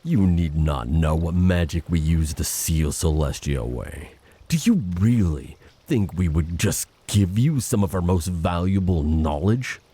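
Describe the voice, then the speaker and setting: Man voicing a very deep, husky, menacing monster brother. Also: expressive, dark tone, full character voice. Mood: cold menace. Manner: menacing, formal, slow threats. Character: vengeful, reckless monster older brother, menacing and bitter. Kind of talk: dramatic audio roleplay acting.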